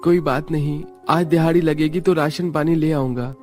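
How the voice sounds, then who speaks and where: A man's voice: fairly high pitch, steady tone, normal speed, a little mellow, soft background music, lightly acted. A man playing a poor, anxious young labourer and dutiful husband in a cartoon story.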